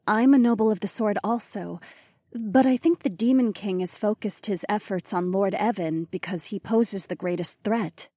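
A woman's voice: mid-range voice, moderate pace, warm tone, slightly rough, dry recording, studio quality, lightly acted. A woman voicing a composed, knowledgeable noblewoman in an audiobook, speaking matter-of-factly. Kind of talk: audiobook character dialogue.

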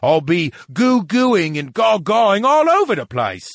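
Man doing a grumbling, high-strung talking brain, swooping pitch. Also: huge pitch swings, a little slow, creaky, no echo, heavily acted. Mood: grumbling, aggrieved. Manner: indignant, theatrical complaining. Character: grumbling, needy, boisterous talking brain in a tank. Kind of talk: audiobook character dialogue.

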